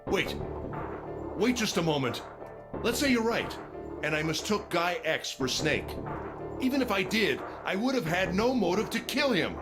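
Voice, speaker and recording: An indignant corporate villain backed into a corner, higher-set voice, theatrical swings, game music underneath. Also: male voice, very animated, moderate pace, long pauses. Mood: defensive. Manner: emphatic, self-justifying, theatrical. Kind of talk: dramatic visual novel dialogue acting.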